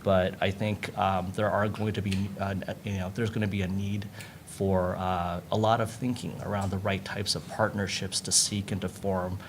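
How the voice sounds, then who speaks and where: An American man with a fairly low voice speaks off the cuff as a panelist. He is halting, often restarting as he thinks aloud. There is noise behind him in the live event recording. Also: flat delivery. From United States. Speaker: panelist, lawyer. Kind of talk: unscripted panel remarks.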